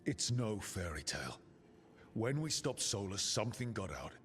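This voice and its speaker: An actor playing a brash, defiant young assassin who leads a team in a video game, speaking with confident insistence. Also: male voice, lowish voice, very animated, moderate pace, a bit bright. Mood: earnest, urgent. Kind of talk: dramatic video game dialogue. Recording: long reverb.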